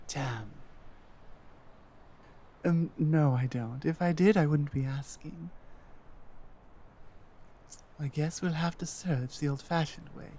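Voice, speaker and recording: A woman playing an earnest, loyal warrior-healer companion in a fantasy ASMR roleplay, with a hesitant, halting delivery. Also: deep voice, warm tone, a little hiss or hum. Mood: awkward, earnest. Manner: hesitant, halting, with stammered restarts. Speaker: audio roleplay performer.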